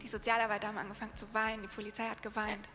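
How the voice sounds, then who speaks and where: A woman interpreting live on stage, speaking in quick restarting bursts. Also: mid-range voice, completely flat delivery, pushed and bright. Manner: halting, restarts mid-sentence. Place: large hall. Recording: echoey hall with music behind.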